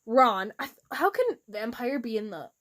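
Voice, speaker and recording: High-voiced, theatrical woman reading fan fiction. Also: expressive, normal speed, a bit bright. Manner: giggly, theatrical. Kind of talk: mock-dramatic reading with casual asides.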